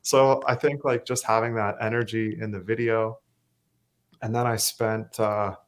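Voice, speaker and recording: A Canadian man with a self-described monotone voice, talking casually as a musician guest, rambling with fillers and restarts. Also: lowish voice, unhurried. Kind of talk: casual interview chat.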